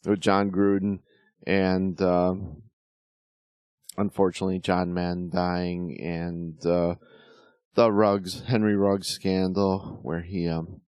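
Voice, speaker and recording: Man, middle-aged, very low voice, slightly hoarse, rambling sports chat, dry sound. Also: darkish tone, dry recording. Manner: rambling, many fillers and restarts. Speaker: podcast host. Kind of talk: casual sports talk.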